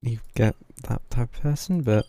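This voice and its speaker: A man's voice: medium pitch, normal speed, noisy background. A man giving casual off-the-cuff livestream commentary while playing a video game. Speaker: game streamer.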